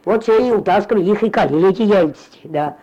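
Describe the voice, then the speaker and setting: Old village woman with a dark, lo-fi voice. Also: mid-range voice, fast, slightly rough. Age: older. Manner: meandering, repetitive, drops to a mumble at phrase ends. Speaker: interviewee, retired farm worker. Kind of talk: rambling spoken memories. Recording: lo-fi field recording.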